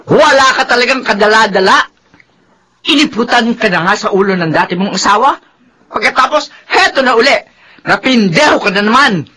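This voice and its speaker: An actress playing a proud, scolding middle-aged mother who nags her son, with a raspy voice. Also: a little high, animated, normal speed, sharp tone, heavily acted. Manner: scornful, nagging. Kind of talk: radio drama acting.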